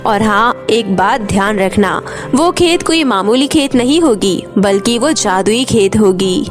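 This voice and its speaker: A woman voicing a calm, gentle mother's spirit over music. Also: mid-range voice, measured pace, voice pushed down, lightly acted. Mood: soothing, solemn. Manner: calm, reassuring. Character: gentle dead mother's spirit speaking from a photograph. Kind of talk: Hindi cartoon moral story.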